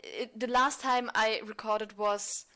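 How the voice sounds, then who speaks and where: A woman's voice: a little high, steady tone, slow, fairly bright tone. A woman speaking as a podcast guest, casual and a little hesitant as she finds her words. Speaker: theatre group member, podcast guest. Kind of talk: casual chat and improvised banter. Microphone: recorded remotely on a phone.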